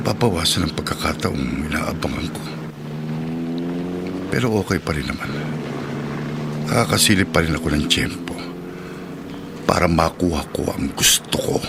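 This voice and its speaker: An actor playing a selfish, scheming husband in a radio drama, with a grumbling, disapproving way of talking. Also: male voice, rumbling low. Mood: sly, scheming. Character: selfish, scheming husband resentful of the housemaid's schooling. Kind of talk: Filipino radio drama acting. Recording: radio drama with clear background noise.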